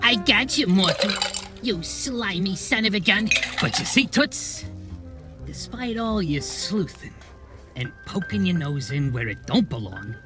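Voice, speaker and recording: An actor playing a slimy, smug crime boss in a noir radio drama, taunting in slangy gangster talk. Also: male voice, high-pitched, sing-song, measured pace, over music. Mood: smug, mocking.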